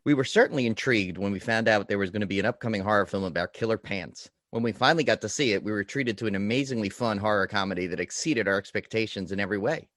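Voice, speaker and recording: A man's voice: fairly low pitch, fairly bright tone, no room sound, studio quality. A man co-hosting a podcast and talking in a casual, conversational style. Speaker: podcast co-host.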